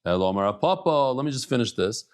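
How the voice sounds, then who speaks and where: A middle-aged man teaching a casual daily Talmud class in a lively, chatty way, mixing in Hebrew and Yiddish terms. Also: medium pitch, fast talker, clear, resonant tone.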